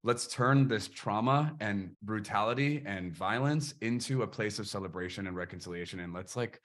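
A man's voice: on the low side, moderate pace, a bit bright. A man hosting a podcast interview, speaking earnestly and with passion, using preacher-like phrasing. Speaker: podcast host. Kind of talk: casual interview chat.

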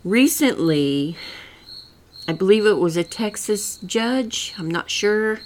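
A woman's voice: medium pitch, expressive, slow pace, slight background noise. An older woman with a rural Missouri accent, hosting her own podcast in a folksy, rambling, earnest monologue. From rural Southwest Missouri. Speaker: podcast host. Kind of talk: solo political commentary.

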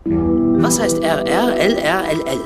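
An actor playing a cautious young sleuth's sidekick in dubbed comedy-crime TV dialogue, earnest and fussy, often exasperated and protesting. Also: male voice, on the high side, expressive, slow pace, over loud music.

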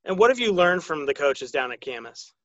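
An American man hosts a sports interview podcast in a very bright, forward voice that sits a little high. The sound is dry and close, though slightly dull in fidelity. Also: very animated, brisk, dry recording. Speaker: podcast host.

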